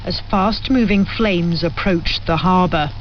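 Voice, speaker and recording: A woman's voice: on the low side, measured pace. Woman correspondent on a muffled radio relay. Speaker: news correspondent. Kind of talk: scripted news report. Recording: muffled, lightly noisy shortwave radio relay.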